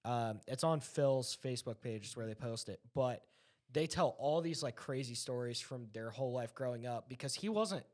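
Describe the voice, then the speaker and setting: A man's voice: mid-range voice, normal speed, a little mellow. A man chatting casually, retelling anecdotes in an enthusiastic, rambling way.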